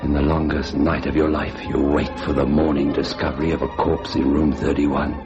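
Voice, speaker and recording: A man's voice: rumbling low, level tone, moderate pace. A man playing a nervous, guilty hotel night clerk in a radio thriller, hesitant and haunted. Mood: brooding dread. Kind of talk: radio thriller acting with brooding narration. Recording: dull radio drama recording with music.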